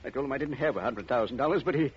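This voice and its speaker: An actor in a 1940s radio drama playing a nervous husband who is being threatened by a killer. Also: male voice, medium pitch, very fast, lightly acted. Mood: anxious. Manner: jittery and anxious. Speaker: threatened victim. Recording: muffled old radio recording with faint hiss.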